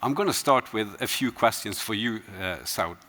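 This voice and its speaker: A man's voice: lowish voice, expressive, moderate pace, pushed and bright, slight background noise. Man moderating a conference panel. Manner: friendly questions, frequent fillers. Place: conference stage.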